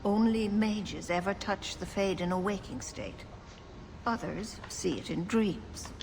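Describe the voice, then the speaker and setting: An actress playing a grave elven mystic elder in a video game, speaking in a measured, solemn, explanatory way. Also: female voice, mid-range voice, vocal fry, background noise. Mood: solemn, explaining. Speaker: magic teacher and ritual leader. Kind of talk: video game dialogue acting.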